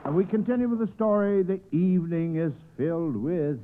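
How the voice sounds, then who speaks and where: High-voiced jovial American radio quiz host. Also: male voice, lively intonation, moderate pace. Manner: jovial, chuckling, folksy, bumbling. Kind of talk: 1940s radio quiz show hosting. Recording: scratchy old radio broadcast, narrow phone-like sound.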